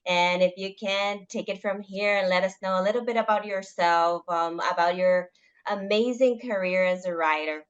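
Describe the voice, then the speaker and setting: A woman from the Republic, interviewing a guest and pausing often on "um". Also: medium pitch, lo-fi sound. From Dominican Republic. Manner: hesitant, many "um" pauses. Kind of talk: interview questions.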